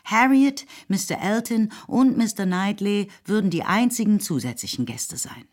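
A woman narrating a radio play in a measured, even storytelling manner. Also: medium pitch.

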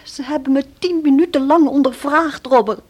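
An actress playing a shaken, frightened woman haltingly recounting an ordeal in a Dutch radio play. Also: high-pitched, lightly acted.

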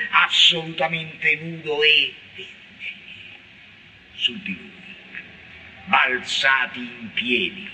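A man declaims a poetic text in a theatrical manner. His delivery is slow and halting, with stretched words and heavy emphasis, in a bright, forceful tone. Faint noise sits behind the radio recording. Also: mid-range voice. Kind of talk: theatrical dramatic poetry recitation. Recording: noisy radio broadcast recording.

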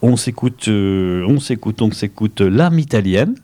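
A man's voice: fairly low pitch, expressive, measured pace, mellow. A man hosting a casual radio interview, constantly chiming in with eager agreement. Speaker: radio host.

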